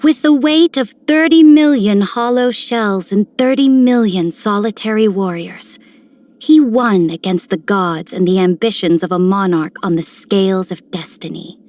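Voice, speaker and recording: A woman's voice: mid-range voice, measured pace, a little mellow, close to an everyday voice. A woman playing an imperious military commander in a video game, with grand, formal, declamatory speech. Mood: grand admiration. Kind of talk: scripted game character voicelines.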